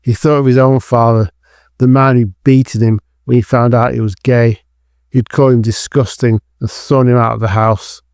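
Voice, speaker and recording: A man's voice: medium pitch, flat delivery, moderate pace, a little mellow, clean tone, dry recording. Dry, close male thriller narrator. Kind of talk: scripted dramatic narration of a thriller.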